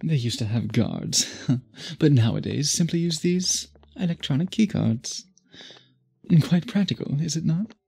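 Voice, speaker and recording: A man's voice: on the high side, animated, a little slow, vocal fry, a little mellow, breathy edge, heavily acted. A man playing an obsessive, old-fashioned vampire suitor in an intimate ASMR roleplay, speaking in formal, archaic, possessive phrases. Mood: charmed, courteous.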